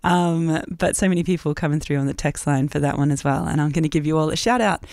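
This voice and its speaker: A woman hosting a casual community radio show in a studio, chatty and relaxed, her voice a little croaky. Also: low voice, fast talker, no room sound. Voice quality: slightly croaky. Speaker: radio host. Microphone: studio microphone.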